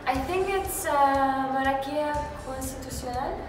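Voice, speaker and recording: A woman's voice: high-pitched, measured pace, voice pushed up. A woman voicing a polite, hesitant Parisian interviewee who slips French words into her answers. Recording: echoey hall from far off, music behind.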